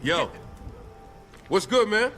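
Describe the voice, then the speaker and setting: An actor with an American accent playing a friendly, streetwise arms dealer in a video game, talking in easygoing slang. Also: male voice, sits high, very animated, takes it slowly, sharp tone, faint music behind, full character voice.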